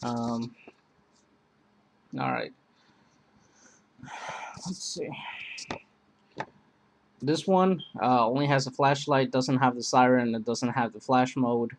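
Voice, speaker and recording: Slow, rambling man reviewing radios. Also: medium pitch, a little hiss or hum. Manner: rambling, hesitant, many fillers. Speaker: product reviewer. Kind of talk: casual product demo.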